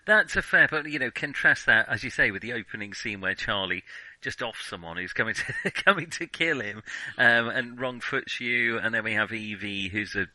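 A man's voice: mid-range voice, lively intonation, moderate pace, bright, forceful tone, dry recording, rough recording. A man co-hosting a casual film discussion podcast, speaking in a hesitant way and often qualifying what he says.

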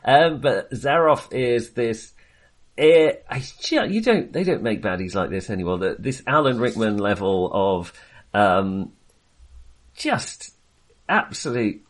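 A man's voice: medium pitch, lively intonation, slow. A man chatting casually and enthusiastically about films as a podcast co-host, rambling and hesitating as he goes. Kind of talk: casual, chatty film discussion.

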